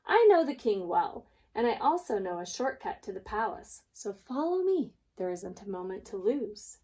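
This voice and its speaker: A woman's voice: mid-range voice, sing-song, moderate pace, mellow. A woman voicing a sly male fox in a children's folktale, coaxing with false concern. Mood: falsely concerned. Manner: smooth-talking, coaxing, falsely solicitous.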